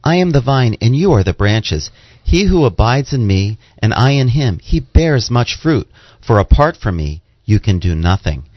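A man's voice: mid-range voice, very animated, normal speed, no echo. A man reading scripture aloud in a formal style. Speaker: scripture reader. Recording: dull, lo-fi audio.

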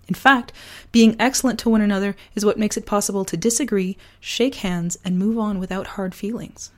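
A woman hosting a personal podcast, talking earnestly and deliberately, her voice partly gone from a sore throat. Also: medium pitch, fairly even intonation. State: losing voice from a sore throat virus. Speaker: podcast host. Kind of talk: personal podcast, reflective essay and casual chat.

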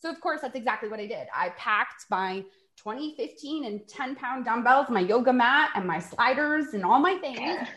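A woman's voice: a little high, expressive, moderate pace, few pauses, fairly bright tone. A woman in her forties in a casual podcast chat, telling stories in a chatty way. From New York. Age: 40s. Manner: chatty storytelling, laughs while acting out quotes. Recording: echoey room.

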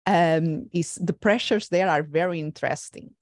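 A woman from Portugal with a Portuguese accent, hosting a conversational interview in a reflective, halting way. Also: on the low side, no room sound. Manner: reflective, halting with filler words. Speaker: podcast host.

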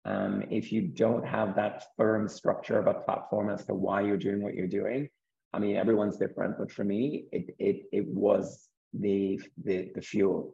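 A man with a croaky, gravelly voice speaks earnestly, low and mellow in tone. He often restarts a word or two before he finds his point. The sound is dull and lo-fi. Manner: earnest, repeats words as he restarts. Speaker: guest, property investor. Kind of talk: interview conversation.

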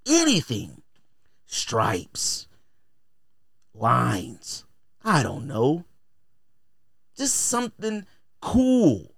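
A man's voice: a little high, gravelly, fairly bright tone, no room sound, studio quality. A man hosting a podcast in a casual, opinionated rant, emphatic and exasperated, repeating phrases to drive points home. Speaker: podcast host.

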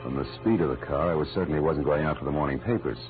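A man's voice: rumbling low, rapid-fire, soft background music. An actor playing a wry, hard-boiled private detective in a 1940s radio drama, with a clipped tough-guy delivery on a muffled old recording.

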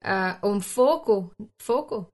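A young Canadian woman, a native English speaker, chatting as a podcast guest in halting Portuguese, often stopping to search for words. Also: medium pitch, lively intonation. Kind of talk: casual chat.

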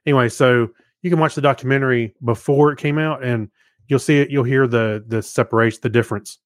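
A man co-hosting a podcast, chatting casually in an easygoing, slightly halting way. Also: medium pitch, fairly even intonation, dry recording, studio quality. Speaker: podcast co-host.

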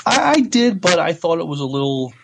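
A man co-hosting a casual podcast, speaking haltingly and repeating words as he goes. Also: on the high side, big pitch swings, vocal fry, slightly rough. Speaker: podcast co-host. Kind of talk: casual podcast chat with read-out news items.

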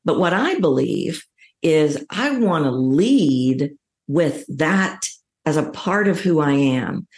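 A middle-aged woman with a low voice and a Texan accent. She speaks as a coach being interviewed, explaining things emphatically in a conversational way. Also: darkish tone. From Texas, United States. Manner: emphatic, illustrates points with acted-out quotes. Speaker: voice coach, podcast guest.

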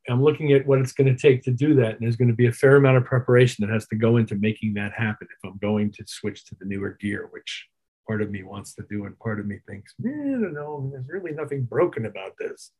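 A man with a husky voice talking as a musician guest in an interview, measured and reflective as he recounts his career. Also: mid-range voice, expressive, poor audio. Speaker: musician guest, touring keyboard player. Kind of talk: interview chat, telling career anecdotes.

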